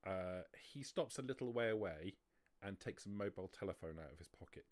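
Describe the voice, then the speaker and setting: A man's voice: low-pitched, lively intonation, brisk. A man narrating and explaining rules as game master of a tabletop roleplaying game, often restarting his sentences.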